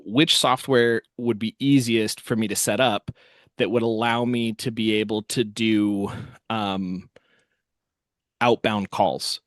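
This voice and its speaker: A man giving a casual software walkthrough as host, thinking aloud as he works. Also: fairly low pitch, no echo, studio quality. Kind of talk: casual screen-share walkthrough.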